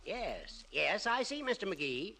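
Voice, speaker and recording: Creaky, high-pitched crotchety old judge. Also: male voice, big pitch swings, slow pace, pushed and bright, slight background noise, exaggerated voice. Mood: agreeable. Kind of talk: 1940s radio comedy acting.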